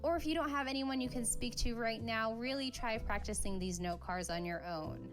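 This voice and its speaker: Woman, language tutor, scripted lesson, encouraging, clear background music. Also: on the high side, moderate pace. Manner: encouraging, clear instructional delivery.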